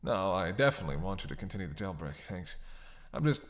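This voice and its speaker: A man playing a starving captive vampire in a roleplay audio drama, sardonic and menacing, speaking haltingly with teasing bite. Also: low voice, big pitch swings, fairly bright tone, full character voice. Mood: hungry, yearning. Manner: sardonic, halting, breathy sighs between phrases.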